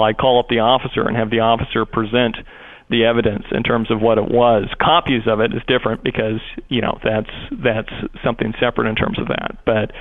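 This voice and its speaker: A man speaking as a prosecutor on a phone call, explaining procedure in a wordy, hesitant way. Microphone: telephone call. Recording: muffled low-bandwidth phone line.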